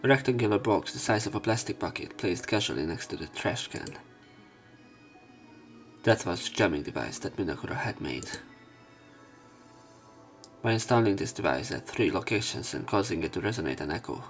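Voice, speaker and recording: A man with a low, gravelly voice narrates a visual novel for a let's play. He stumbles now and then over words as he reads and comments, with faint music playing behind him. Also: lively intonation, moderate pace, pauses often. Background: soft game music.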